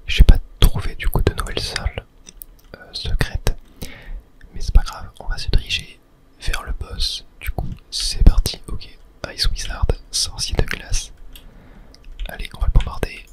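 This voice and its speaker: A gamer speaks entirely in a soft, breathy whisper. The delivery is a hushed ASMR let's play, murmuring short phrases as running commentary on the game. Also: unhurried. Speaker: gaming ASMR streamer.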